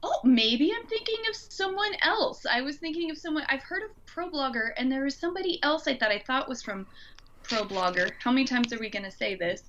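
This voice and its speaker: A woman's voice: high-pitched, lively intonation, brisk, a bit bright. A woman co-hosting a podcast in an informal chat, thinking aloud, on slightly dull audio. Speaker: podcast co-host.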